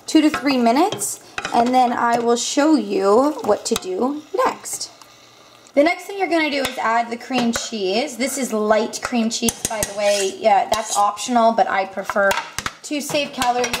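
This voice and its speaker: A woman's voice: a little high, noisy background. Chatty woman cooking in her kitchen. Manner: chatty, lots of ums and self-corrections. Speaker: cooking vlogger. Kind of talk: casual cooking demonstration. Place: home kitchen. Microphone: camera mic, filming over the stove. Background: clinking pots and utensils.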